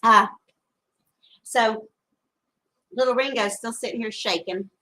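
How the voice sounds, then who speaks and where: A woman's voice: on the high side, slow, pushed and bright, slight background noise. Chatty woman on a stormy porch. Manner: chatty, rambling with many fillers. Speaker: live show co-host. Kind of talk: casual live chat reading comments. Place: outdoors on a porch during a storm. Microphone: phone camera.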